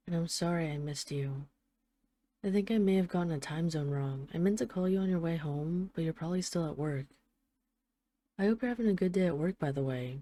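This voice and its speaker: A woman's voice: low voice, lightly acted. A woman playing an affectionate, wry mafia boss who misses her partner, speaking in an intimate, conversational roleplay monologue like a voicemail. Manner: conversational, affectionate, gently self-deprecating, wry. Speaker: audio roleplay voice actor.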